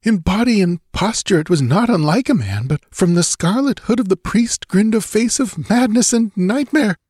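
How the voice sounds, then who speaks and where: A man voicing a frightened young nobleman in a fantasy audiobook, speaking in hushed, urgent, formal phrases. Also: high voice, lively intonation, normal speed, slightly rough, no echo, studio quality, voice pushed up. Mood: horrified, hushed. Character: frightened young nobleman, breathless, horror-struck. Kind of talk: dramatized audiobook fantasy adventure.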